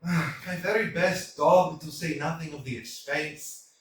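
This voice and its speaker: A man's voice: a little high, unhurried, slight background noise, close to an everyday voice. A man voicing an anxious, quarrelsome young landowner who keeps complaining about his heart, in a staged play reading recorded in an echoey hall from a distance. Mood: fretful. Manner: fretful, complaining, flustered. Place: small theatre stage.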